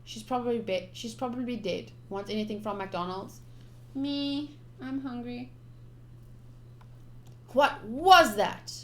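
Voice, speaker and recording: A woman streamer doing a live let's-play of a visual novel, reading text aloud and reacting in an expressive, chatty way. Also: fairly high pitch, slow, long pauses, slight background noise.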